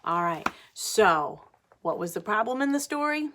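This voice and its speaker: Brief: female teacher, mid-range voice, animated read-aloud to young children with explanatory asides and questions. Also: mid-range voice, unhurried, a little hiss or hum.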